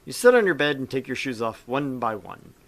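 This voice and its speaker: A man's voice: medium pitch, very animated, fairly quick. A man hosting a gaming show, reading a visual novel aloud and bantering casually as he narrates.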